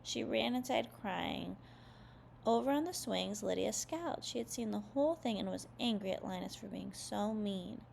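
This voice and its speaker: A woman storyteller reads aloud for young children with expressive, careful phrasing. Her voice is full of creak, and there is slight noise behind it. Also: mid-range voice, normal speed. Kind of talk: children's read-aloud narration.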